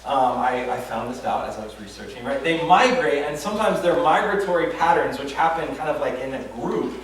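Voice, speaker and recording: A man's voice: a little high, distant mic, slight background noise. A man preaching a casual, conversational sermon at a church retreat, with lots of fillers and self-deprecating humor. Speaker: pastor. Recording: very echoey room, voice from afar.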